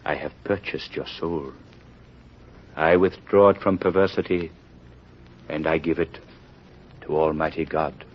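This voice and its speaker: Casting: solemn bishop haunting a conscience, low, very slow intoning, muffled old radio drama recording. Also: male voice, fairly even intonation. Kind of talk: vintage radio drama acting.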